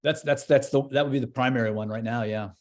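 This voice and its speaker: A man's voice: medium pitch, steady tone, quick pace, a little mellow. A man in his fifties who is a guest in an interview. He speaks thoughtfully and casually, often searching for words and trailing off. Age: 50s. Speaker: church leader guest.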